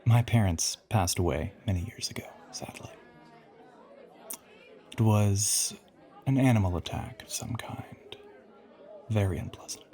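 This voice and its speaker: Man playing a low, whispery, suave vampire. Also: mellow. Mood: solemn. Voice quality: low, breathy half-whisper. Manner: smug, teasing, formal and theatrical phrasing. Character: ancient, suave, teasing male vampire posing as a boyfriend. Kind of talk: intimate audio roleplay, half-whispered.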